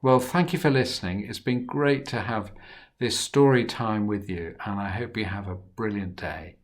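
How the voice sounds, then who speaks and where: A man reading a Bible story to children expressively and dramatically, moving between hushed and emphatic delivery. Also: on the low side, moderate pace, slight background noise.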